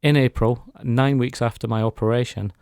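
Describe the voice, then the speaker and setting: A man's voice: medium pitch, steady tone, normal speed, a little mellow, clean tone, no room sound, clean studio sound. A man speaking as a guest in a radio interview, giving an earnest, reflective personal testimony. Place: radio studio.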